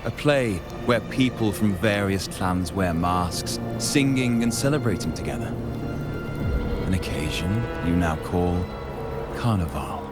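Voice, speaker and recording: An actor playing an earnest, courteous and calmly defiant male storyteller in a video game, formal and lyrical. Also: deep voice, measured pace. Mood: courteous, persuasive. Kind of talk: dramatic video game voice acting. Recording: music behind the voice. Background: game music.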